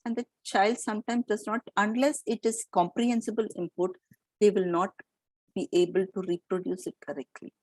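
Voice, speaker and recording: A woman's voice: medium pitch, steady tone, normal speed, darkish tone, no echo, rough recording. A middle-aged Indian woman giving an explanatory lecture to teachers, with fillers and restarts. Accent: Indian English.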